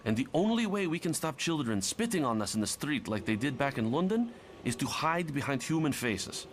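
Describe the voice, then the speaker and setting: A man's voice: medium pitch, sing-song, brisk, slight background noise, lightly acted. A man playing a bitter, stubborn nineteen-year-old Russian mutant strongman in a motion comic, speaking formally and emphatically. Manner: formal, uncontracted, emphatic speech.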